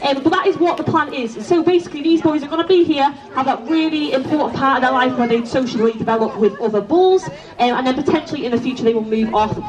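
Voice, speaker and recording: A woman speaks quickly, a little way from the mic, with a crowd audible around her. She gives an informative outdoor talk to the public as a keeper. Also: high voice. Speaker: zoo keeper. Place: outdoors at an elephant enclosure. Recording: distant, slightly dull, crowd around.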